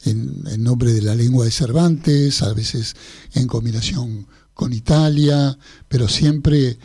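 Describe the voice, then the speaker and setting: Argentine male radio host, Rioplatense accent, husky mellow voice, dry studio sound, unhurried meandering questions. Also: medium pitch, dry recording. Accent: Rioplatense Spanish. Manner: measured, long meandering questions. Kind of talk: literary radio interview.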